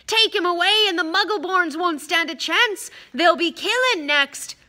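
A woman voicing a huge, gruff, hot-tempered man with loud, rustic speech in a storybook reading. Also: fairly high pitch, animated, moderate pace, heavily acted. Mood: roaring outrage. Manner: loud, roaring, rustic dropped-sound speech.

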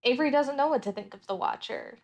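A woman's voice: high-pitched, fast, gravelly, a little mellow, muffled recording. A woman playing a tabletop roleplaying game, talking casually and thinking her answers through aloud in a thoughtful way. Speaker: player in an actual play podcast.

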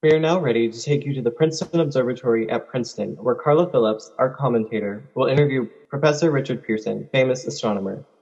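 A man playing a brisk, husky studio announcer in a 1930s-style radio play, reading a scripted handover. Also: medium pitch, darkish tone. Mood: brisk, formal. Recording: dull lo-fi sound.

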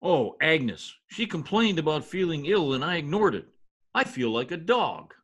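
A man's voice: a little high, very animated, normal speed, a bit bright, husky, full character voice. A man in his sixties playing a grandiose, melodramatic, blustering husband in an old-time radio comedy over a video call. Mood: alarmed, dramatic. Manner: theatrical, grandiose, overwrought. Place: home office, video call. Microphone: computer mic on video call.

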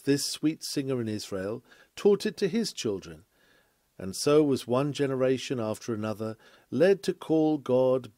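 A man's voice: mid-range voice, mellow, no room sound. Man reading a sermon, dry sound. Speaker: reader of a historical sermon. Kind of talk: sermon read aloud from a script.

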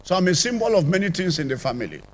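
An older man with a Nigerian accent, telling his conversion testimony as a church apostle, with sudden rises and drops in loudness, on a handheld mic in a large church. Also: high-pitched, very animated, racing. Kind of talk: testimony sermon. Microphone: handheld microphone.

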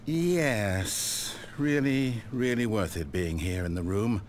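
A man's voice: lowish voice, slow, slight background noise. A man playing an omniscient, sardonic video game narrator, articulate and condescending, toying cruelly with his character. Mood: wry, storybook calm. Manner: theatrical, mocking, condescending, articulate.

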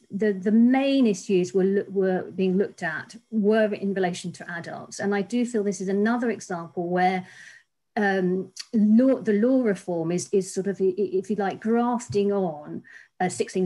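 A middle-pitched woman in her fifties speaks earnestly and deliberately, circling back on her words as she sets out legal concerns. She talks over a laptop webcam on a video call from a small home office. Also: dark tone. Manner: measured, earnest, frequent restarts and fillers. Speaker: legal academic and author. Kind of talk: panel discussion remarks.

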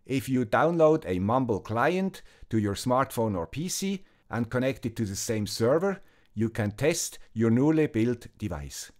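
A methodical man with a Swiss German accent walks through a tech build step by step. His pitch rises and falls a lot as he explains. It is a measured, scripted voice-over. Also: animated, a little mellow. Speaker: electronics video host. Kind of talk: scripted tech tutorial narration.

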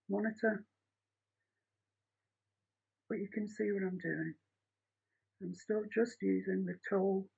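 A woman's voice: lowish voice, gravelly, darkish tone, breathy edge. A middle-aged woman teaching a painting tutorial from her home studio in a chatty, rambling, practical way. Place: home art studio, indoors. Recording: muffled lo-fi home recording.

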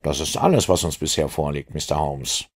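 A man voicing a brisk, excitable male police inspector in an audiobook, urgent and emphatic. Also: rumbling low, breathy edge, heavily acted. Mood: earnest, informative. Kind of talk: audiobook character dialogue.

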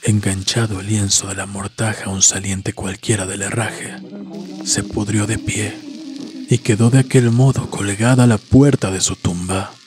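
A man reading a horror story as a narrator, solemn and measured, with dramatic pauses. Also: fairly low pitch, steady tone, darkish tone. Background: background music.

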